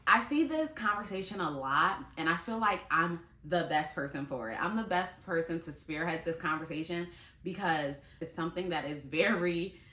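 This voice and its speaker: Chatty young woman, bright and echoey. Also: lowish voice. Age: 20s. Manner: chatty, talks straight to viewers, laughs easily. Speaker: vlogger. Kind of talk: casual talk to camera. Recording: echoey room.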